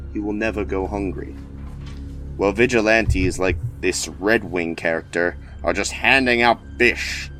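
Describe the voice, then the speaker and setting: Man playing a deep-voiced, theatrical, sinister mayor. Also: fairly bright tone, exaggerated voice. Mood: cold, preachy. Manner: theatrical, grandiose, speechifying. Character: weird male city mayor, smugly cheerful, coldly menacing. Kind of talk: audio drama acting.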